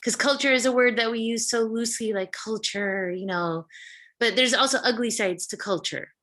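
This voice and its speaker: Bright, high-voiced woman guest. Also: moderate pace. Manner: reflective, thinks aloud with fillers and restarts. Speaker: guest, vegan restaurant founder and chef. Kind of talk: casual conversational interview.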